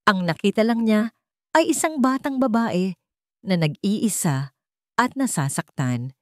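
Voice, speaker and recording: A woman's voice: medium pitch, animated, measured pace, no room sound, clean studio sound. A woman narrator reading a scripted, dramatic love story.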